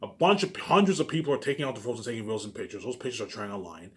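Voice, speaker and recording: A man's voice: on the low side, sing-song, rapid-fire. A man in a rambling informal monologue to viewers, boastful and circling back over the same phrases. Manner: boastful, self-aggrandizing.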